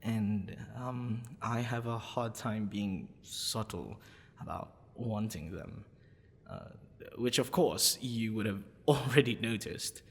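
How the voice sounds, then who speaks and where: A man playing a smitten, possessive half-dragon librarian in a boyfriend ASMR roleplay, with a halting, stammering, husky delivery. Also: fairly low pitch, slight background noise. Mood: sheepish. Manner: halting, stammering, breathy sighs and nervous laughs. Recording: long reverberant echo.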